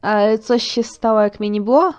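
A man's voice: sits high, moderate pace, clean tone, natural voice. A man voicing a carefree, easily bored little boy prince in a cartoon fan dub, with a blunt, playful, childish way of talking. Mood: casual. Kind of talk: fan-dub comic acting. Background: music.

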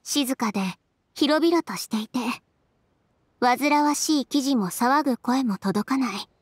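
High-voiced, self-assured young designer. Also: female voice, measured pace, dry recording, clean studio sound. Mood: content. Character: self-assured, blunt young female fashion designer, independent. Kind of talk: Japanese anime game dub acting. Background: faint background music.

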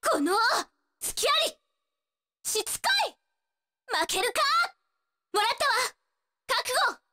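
A woman's voice: extremely high pitch, big pitch swings, takes it slowly, pushed and bright, long reverb tail, full character voice. A woman voicing a spirited, cocky young female martial-arts fighter in a fighting game, brash, taunting and determined. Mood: fierce, confident. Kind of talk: Japanese fighting-game voice acting, short battle lines.

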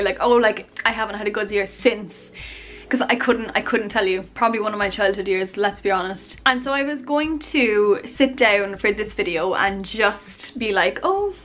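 A woman's voice: a little high, fairly bright tone. Chatty young woman vlogging to camera. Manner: chatty, self-deprecating, talks with her hands. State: losing her voice, coughing. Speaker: YouTuber. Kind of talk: rambling casual vlog to camera. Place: bedroom, indoors. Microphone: camera on tripod at arm's length.

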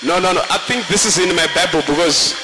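A man preaching a fiery sermon, shouting and calling out in a sing-song, emphatic way. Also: high voice, fast talker, long reverb tail, poor audio. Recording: live sermon with loud congregation.

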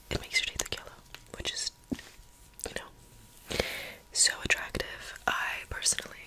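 A woman's voice: bright, forceful tone, poor audio. A woman whispering ASMR in a loose, playful vampire roleplay, rambling whimsically and repeating words for effect. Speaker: ASMR creator.

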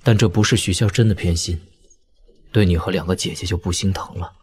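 An actor playing a weary, tender young lord and elder brother in an audio drama, speaking in a measured, reflective way. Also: male voice, deep voice, fairly even intonation, a little mellow, slightly rough. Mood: sorrowful, reflective. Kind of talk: audio drama dialogue in Mandarin wuxia serial.

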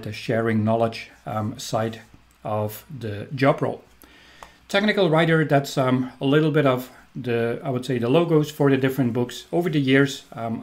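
A man's voice: mid-range voice, a little mellow. A middle-aged man from Belgium with a Belgian accent, giving an enthusiastic recorded technical presentation as a trainer, with frequent ums. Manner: upbeat, enthusiastic, with frequent um fillers.

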